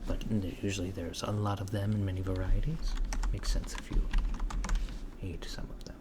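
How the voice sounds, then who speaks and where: A man playing a mild-mannered, curious alien interviewer in softspoken ASMR roleplay, asking hesitant, politely puzzled, clinical questions. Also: low-pitched, little pitch movement, moderate pace, natural voice. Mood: curious, musing. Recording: clear background noise, keyboard typing. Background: computer keyboard typing.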